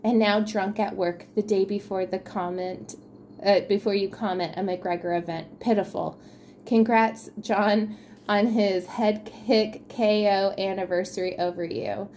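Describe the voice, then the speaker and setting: A woman's voice: medium pitch, steady tone, unhurried, darkish tone, slight background noise. A woman co-hosting a podcast, chatting casually about sports news in an informal, slangy way. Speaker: podcast co-host.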